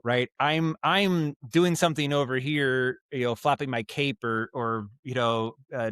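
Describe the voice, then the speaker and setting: A man speaking as a guest in a casual interview, telling stories in an animated, halting way with asides and vocal sound imitations. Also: mid-range voice, no room sound, studio quality. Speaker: guest, audio drama writer and director. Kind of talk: casual podcast interview, storytelling.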